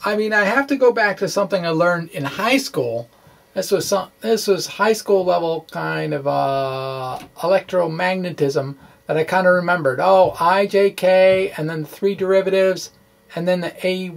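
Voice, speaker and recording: Man talking through math, halting and informal. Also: sits high, vocal fry. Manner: halting, self-correcting, thinking aloud. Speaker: physics explainer and blogger. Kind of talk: informal math walkthrough, reading and ad-libbing.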